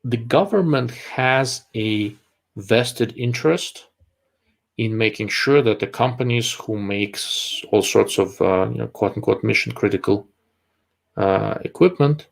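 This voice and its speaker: A man's voice: lowish voice, measured pace, creaky. A man from Russia, hosting a live-stream chat in a rambling way, full of fillers and restarts. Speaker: host.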